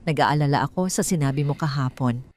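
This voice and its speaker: A woman's voice: rumbling low, fast, darkish tone, voice pushed down. A woman with a Filipino accent voicing a worried, wary young stepdaughter in an audio story, anxious and confiding.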